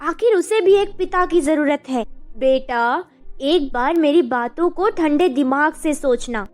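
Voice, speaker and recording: Insistent older mother, high voice played by a woman. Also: unhurried, a little mellow. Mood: insistent, concerned. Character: insistent older mother urging her widowed daughter on. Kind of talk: acted Hindi animated story drama. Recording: background music over the voice.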